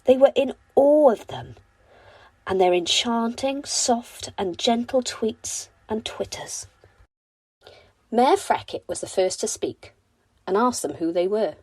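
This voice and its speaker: A woman narrating a children's bedtime story in an expressive, deliberate storytelling manner. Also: on the high side, husky, dry recording, poor audio. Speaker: storybook reader.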